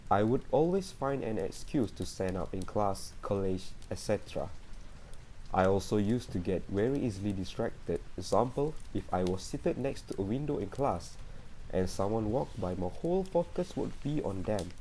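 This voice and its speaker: A man's voice: fairly low pitch, mellow, a little hiss or hum, lightly acted, low-quality recording. A man playing an earnest, troubled therapy patient giving a confessional monologue in an amateur radio drama. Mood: earnest, confessing.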